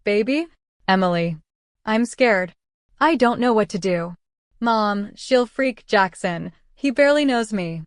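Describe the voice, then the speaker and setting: A woman playing a frightened but determined pregnant teenage girl in a dramatic roleplay story, halting and emotional. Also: mid-range voice, animated, lightly acted. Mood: scared, shaken. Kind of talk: dramatic first-person roleplay story acting and narration. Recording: echoey, long reverb, music underneath.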